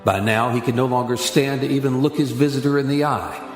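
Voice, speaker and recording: A man narrating a radio play in a grave, deliberate storytelling manner. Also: medium pitch, steady tone, natural voice. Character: solemn male radio drama narrator. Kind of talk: scripted dramatic narration. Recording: echoey hall reverb with music.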